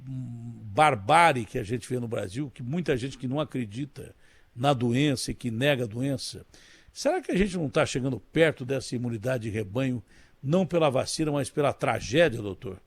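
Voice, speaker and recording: A man's voice: medium pitch, expressive, normal speed, slightly rough, no echo. A man hosting a TV interview, talking in an emphatic, conversational way. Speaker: TV host.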